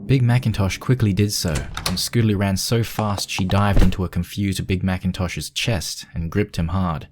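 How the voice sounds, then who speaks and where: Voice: low-pitched, fairly even intonation, moderate pace, few pauses, background noise. A narrator reading a scripted fan fiction story aloud.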